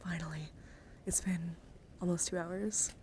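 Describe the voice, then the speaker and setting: A woman livestreamer talking in a casual, rambling ASMR style, with a soft voice that often slips toward a breathy whisper, close to the microphone. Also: fairly low pitch, steady tone, measured pace, slight background noise. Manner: rambling, informal. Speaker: livestreamer showing card decks.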